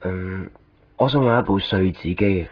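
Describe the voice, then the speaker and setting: A man's voice: low-pitched, unhurried, slightly rough, dry recording. A man with a Hong Kong Cantonese accent playing a weary, short-tempered photocopy shop keeper in a radio drama. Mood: flat, hesitant. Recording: muffled 1990s radio drama, music behind. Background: music.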